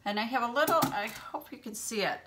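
A woman in her fifties hosting a makeup tutorial from a small room at home, explaining each step in a gentle, chatty way and clearing her throat now and then from allergies. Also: fairly high pitch, fast, noisy background. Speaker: beauty channel host.

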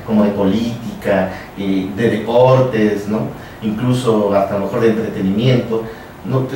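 A man with a Mexican accent hosting a casual radio conversation, explaining things in a halting way and repeating his phrases. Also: on the low side, a little mellow. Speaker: radio show host. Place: small radio studio, indoors. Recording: echoey room, distant, background noise.

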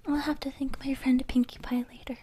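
Girl doing a timid little cartoon pony, high shy whisper right on the mic. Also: flat delivery, a little fast, dark tone, slight background noise, close to an everyday voice. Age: young adult. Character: timid gentle female pony, shy and soft-spoken. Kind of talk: whispered ASMR role play. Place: small room at home. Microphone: studio mic close to the mouth.

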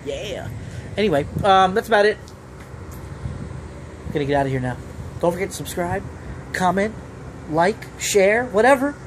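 Rambling trucker vlogging outdoors. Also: male voice, sits high, animated, moderate pace, pauses often, background noise. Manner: rambling, filler-heavy, keeps saying okay. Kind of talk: casual vlog promo. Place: outdoors under a building awning. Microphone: phone camera at arm's length.

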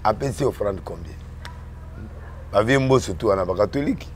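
Homme originaire du Congo qui parle en mélangeant le français et le lingala, sur un ton emphatique, en répétant ses mots dans une discussion politique. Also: male voice, on the low side, lively intonation, moderate pace, slight background noise. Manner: mixes French and Lingala, emphatic, repeats words. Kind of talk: animated political chat.

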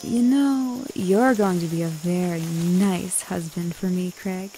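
A woman's voice: fairly low pitch, slow, rough recording. A woman playing a seductive, commanding immortal witch in a retro radio drama, coaxing and self-assured. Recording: faint background noise and hiss.

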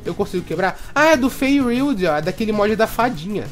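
A young Brazilian man chatting excitably through his gameplay commentary and tossing in tag questions constantly. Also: sits high, fairly quick, faint music behind. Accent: Brazilian Portuguese. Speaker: gaming video host.

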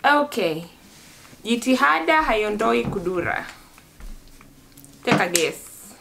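A woman's voice: on the high side, big pitch swings, slow pace, gravelly, over music. A young woman hosting a casual vlog from her bedroom, playful and laughing often as she teaches phrases. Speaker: vlogger host. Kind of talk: casual chat. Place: bedroom, indoors.

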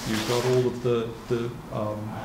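A man in his sixties giving a measured, methodical product demonstration as a kayak retailer, speaking in an indoor shop. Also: mid-range voice, level tone. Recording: echoey room with clear background noise.

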